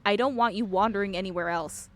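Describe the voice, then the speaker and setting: A woman's voice: medium pitch, quick pace, a bit bright, natural voice. A woman voicing an irritable, scornful female boss of a mine in a video game, scolding and dismissive. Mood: irritated, scornful.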